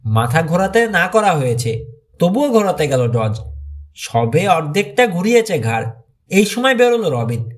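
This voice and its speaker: A man's voice: high voice, very animated, moderate pace. A man reading a mystery thriller audiobook as a dramatic scripted narration.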